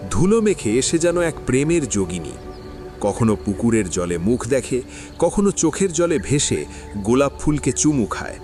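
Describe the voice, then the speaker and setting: Expressive male drama narrator. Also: medium pitch. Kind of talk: scripted storytelling narration.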